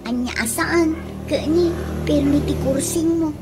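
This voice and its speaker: A woman's voice: high-pitched, a little slow, over music, low-quality recording. A woman playing a pushy, blunt peddler in a comedy radio drama, speaking with an Ilocano accent in a coaxing, teasing way. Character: pushy, blunt female peddler of perfume and lotion.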